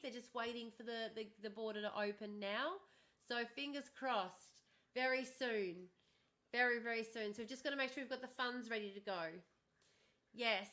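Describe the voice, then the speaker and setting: Bubbly high-voiced woman streamer. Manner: bubbly, chatty, thanks viewers warmly and often. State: starting to lose her voice. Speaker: livestream host. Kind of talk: casual livestream chat with viewers.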